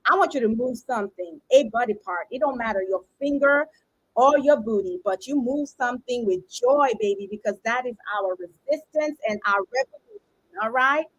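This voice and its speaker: A woman's voice: sits high, animated, normal speed, a bit bright, dull audio. A middle-aged woman hosting an online roundtable over a video call, with exuberant greetings and a rhythmic, preacher-like cadence. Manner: exuberant, hype-style greetings and affirmations. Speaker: host of a roundtable.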